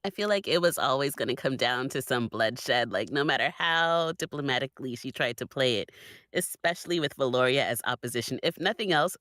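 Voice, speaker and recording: A podcast guest talks through opinions on a story in a casual, conversational way. The voice is androgynous in pitch and very animated. The sound is dry, with hardly any room in it. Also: no echo. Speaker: guest on a podcast listen-along. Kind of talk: casual discussion, giving opinions.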